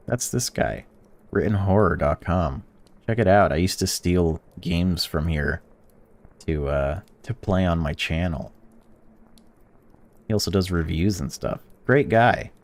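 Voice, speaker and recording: A man hosting a gaming channel, reading a visual novel's text aloud while playing and breaking off into excited or indignant reactions. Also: low-pitched. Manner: reads game text flatly, then blurts excited or indignant reactions.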